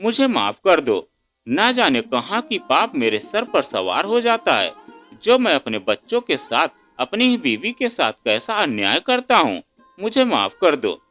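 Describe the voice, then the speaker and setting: A man's voice: fairly high pitch, wildly swooping pitch, unhurried, fairly bright tone, soft background music, full character voice. A male storyteller voicing an abusive middle-aged husband who now pleads for forgiveness. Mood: remorseful. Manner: pleading, apologetic.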